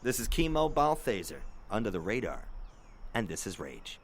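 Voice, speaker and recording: A man's voice: fairly low pitch, very animated, normal speed, very noisy. An actor playing a wry, skeptical male call-in show host in an audio drama, with a showy on-air manner. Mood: excited, showy.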